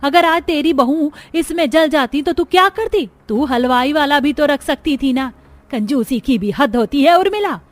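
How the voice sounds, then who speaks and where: Woman voicing an urgent, shrill-pitched scolding elder relative. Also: animated, normal speed, dry recording. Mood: angry scolding.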